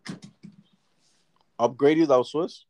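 A man's voice: mid-range voice, measured pace, darkish tone. Laid-back man, rambling casual chat. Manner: laid-back and profane, trails off mid-thought.

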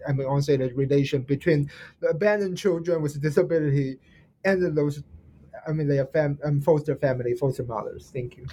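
A man's voice: on the high side, fairly quick, warm tone, slight background noise. A man with a Chinese accent hosting an interview, polite and hesitant, often restarting and apologizing. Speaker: host.

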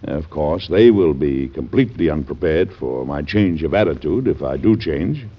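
Radio drama president: grave, principled, middle-aged, low-pitched and expressive, formal diction, muffled old-time recording. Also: male voice, slightly rough, full character voice. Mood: firm. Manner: deliberate, formal, earnest. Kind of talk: classic American radio drama acting. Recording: dull band-limited old radio recording.

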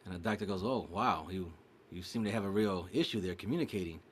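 A man's voice: low voice, fast, a little hiss or hum. A man telling a long joke in casual chat, acting out a stuttering patient and his doctor. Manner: animated storyteller mimicking a stutter.

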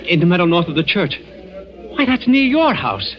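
An excited, gossipy village lad in a high voice that leaps up and down. He speaks with breathless, lurid relish in 1940s radio style. The recording is muffled. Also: moderate pace, music in the background. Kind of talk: 1940s radio drama acting.

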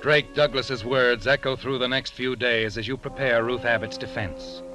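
An actor narrating an old-time radio thriller in a suspenseful, pointed manner, addressing the listener directly. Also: male voice, medium pitch, fairly even intonation, moderate pace, close to an everyday voice, low-quality recording. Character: second-person radio narrator, insistent voice addressing Joseph. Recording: old radio recording with music behind.

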